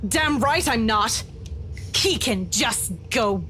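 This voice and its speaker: An actress playing a terrified, rattled young woman in a horror visual novel, halting, pleading and prone to swearing. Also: fairly high pitch, expressive, sharp tone, soft background music, heavily acted. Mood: bitter. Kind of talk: dramatic visual novel voice acting.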